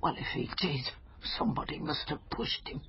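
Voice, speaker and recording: A woman's voice: sits low, animated, moderate pace. An actress playing a grieving, bitter middle-aged mother in a naturalistic radio drama. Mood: distressed, accusing. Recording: dull recording, faint background noise.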